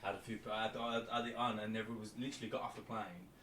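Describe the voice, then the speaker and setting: A young man from England with a lowish voice laughs easily and swears on a casual football podcast. He sits back from the mic in an echoey room. A faint hum of ambience runs behind him. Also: fairly even intonation, rapid-fire, bright, forceful tone. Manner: giggly, easily amused, sweary. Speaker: podcast co-host. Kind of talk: casual chat. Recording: roomy, distant mic.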